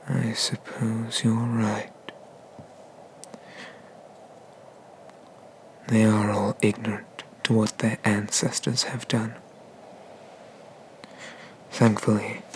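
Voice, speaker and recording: A man voices an ancient dragon god for an ASMR roleplay. He is haughty and condescending yet merciful, very slow and measured, with little rise or fall in pitch, slipping into breathy whispers. Noticeable ambient noise sits behind the voice. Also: rough recording. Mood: musing, softening. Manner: slow, deliberate, formal speech with murmured, breathy whispers.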